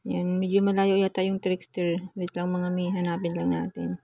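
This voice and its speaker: A Filipino woman talks viewers through a tutorial in a chatty, familiar way. Her voice is dark and relaxed, with little rise and fall in pitch. The sound is dry, with hardly any room around it. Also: moderate pace, vocal fry, no echo. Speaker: vlogger giving a phone editing tutorial. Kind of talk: casual step-by-step tutorial.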